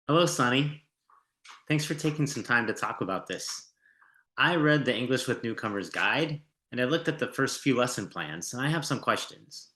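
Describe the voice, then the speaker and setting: A man asks curious questions about a teaching program. His voice is bright and animated, with lively swings in pitch. The room gives it a clear echo. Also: normal speed. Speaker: prospective volunteer. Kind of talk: scripted interview questions. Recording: roomy echo.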